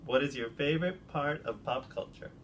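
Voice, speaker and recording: A man hosting a casual interview, friendly and quick to laugh, putting questions to his guest. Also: fairly high pitch, fairly bright tone, slight background noise. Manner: friendly, laughs easily, offers short comments. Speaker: interview host.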